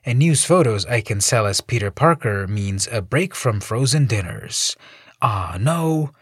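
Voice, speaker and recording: A man's voice: mid-range voice, animated, a little slow, breathy edge, no echo, clean studio sound. A man voicing a wisecracking young male superhero who talks in jokey, breezy quips. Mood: musing.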